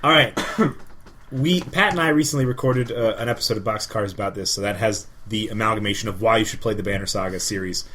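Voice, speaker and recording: A man with a very bright, forceful voice in casual podcast conversation. He talks with slangy enthusiasm and swears freely. Some steady background noise sits behind him. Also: mid-range voice. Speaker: podcast co-host.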